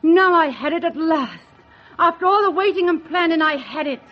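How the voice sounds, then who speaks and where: High-voiced scheming secretary, vintage radio. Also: female voice, lively intonation, normal speed. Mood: tense, triumphant. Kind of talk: 1940s radio acting, first-person crime narration. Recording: dull vintage 1940s radio recording.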